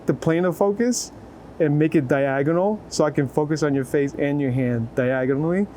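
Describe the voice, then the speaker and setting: A man with a somewhat high voice speaks as a photographer directing a subject. His delivery is informal and encouraging, built on short repeated instructions. Faint street ambience and vehicles sit behind him. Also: normal speed, warm tone. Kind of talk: casual chat while directing portrait subjects. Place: outdoors in a city. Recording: city street ambience and traffic noise.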